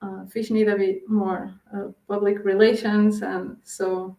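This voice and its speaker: A woman's voice: mid-range voice, flat delivery, a little slow, dark tone, echoey room, rough recording. A woman from Portugal with a Portuguese accent, a researcher answering interview questions with many fillers and restarts. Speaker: guest researcher.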